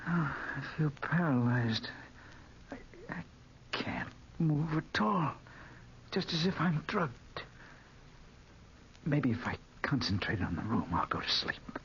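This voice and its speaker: A man playing an anxious, drugged American in a 1940s radio drama, halting and faltering. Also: mid-range voice. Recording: muffled, noisy 1940s radio recording.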